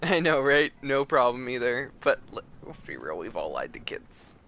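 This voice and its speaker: A man's voice: mid-range voice, huge pitch swings, moderate pace, gravelly, fairly bright tone, a little hiss or hum. A man giving casual, chatty, joking commentary as a game streamer. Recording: game music behind the voice.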